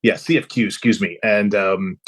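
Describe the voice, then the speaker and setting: A man's voice: lowish voice, fairly even intonation, normal speed. An American man from Los Angeles talking casually as a guest in an interview. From Los Angeles, USA. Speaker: podcast guest, composer.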